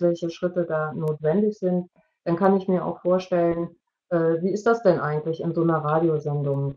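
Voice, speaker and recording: A middle-aged woman giving an explanatory online presentation in a halting way, searching for words. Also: fairly low pitch, mellow. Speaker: media educator presenting at an online parents' evening. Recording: dull, lo-fi video-call audio.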